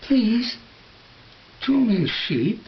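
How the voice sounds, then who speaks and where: Man voicing a small, high-pitched, earnest little boy. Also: big pitch swings, dull audio, slight background noise, full character voice. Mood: gentle, insistent. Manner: very gentle, halting, repetitive.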